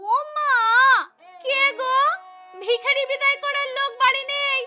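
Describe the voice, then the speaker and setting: A woman voicing a haughty, callous village aunt in a cartoon, shrill and scolding. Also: flat delivery, a little slow, pushed and bright, soft background music, exaggerated voice. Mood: scornful.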